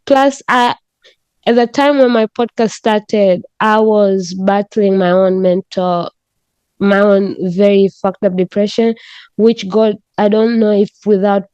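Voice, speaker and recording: A woman's voice: medium pitch, steady tone, unhurried, a little mellow, clean tone, dry recording, lo-fi sound. A woman from Tanzania, a podcast guest speaking frankly and with animation, swearing freely. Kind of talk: candid conversational storytelling.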